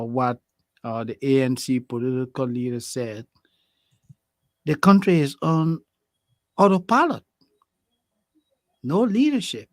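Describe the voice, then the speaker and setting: A man's voice: medium pitch, no echo. A man co-hosting a current affairs talk show, often agreeing and chuckling. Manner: agreeing, frequent yeahs and laughs. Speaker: co-host.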